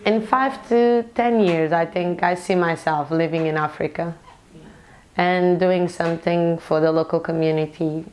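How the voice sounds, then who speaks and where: A young woman from Portugal, a nurse, talking earnestly in a documentary interview, pausing often to find her words. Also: lowish voice, fairly even intonation, darkish tone, slight background noise. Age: young adult. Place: London.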